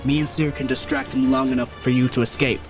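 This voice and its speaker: A man plays a young rebel hero in a space opera audio drama. His mid-pitched voice is earnest and urgent, steadfast under threat, with faint music behind. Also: level tone, quick pace, close to an everyday voice. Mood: urgent, resolute. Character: earnest, resolute young male rebel Jedi.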